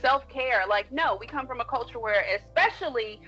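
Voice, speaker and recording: Co-host: slightly high woman, reflective and explanatory, dull lo-fi sound. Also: normal speed, fairly bright tone, breathy edge, faint music behind. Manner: reflective, explanatory, steps through points. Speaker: podcast co-host. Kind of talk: casual podcast chat.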